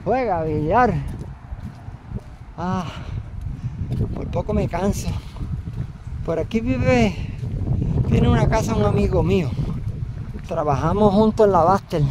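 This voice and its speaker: A man's voice: high-pitched, very noisy. Rambling Puerto Rican man outdoors. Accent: Puerto Rican Spanish. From Puerto Rico. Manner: rambling, halting, with many fillers and restarts. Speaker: vlogger. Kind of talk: casual walking vlog. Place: outdoors, walking.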